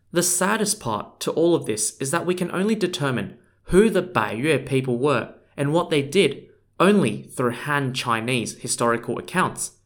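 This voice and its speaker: A man narrates a history podcast from a script. His voice sits at an ordinary middle pitch, and he sounds earnest, slowing down to spell out foreign names letter by letter. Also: fairly bright tone. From Australia. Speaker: podcast host.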